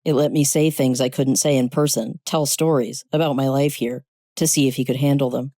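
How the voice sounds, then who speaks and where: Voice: mid-range voice, fairly even intonation, a little fast, dark tone, dry recording, natural voice, rough recording. A narrator voicing a sweet, sentimental woman customer in a short story. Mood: tender. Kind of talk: scripted short-story dialogue.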